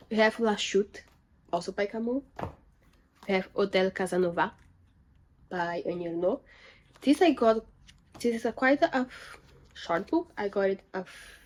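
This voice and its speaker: Chatty young woman book vlogger. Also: mid-range voice. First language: not French. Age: young adult. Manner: chatty, self-correcting, animated asides. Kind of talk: casual chat. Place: indoor room with bookshelves.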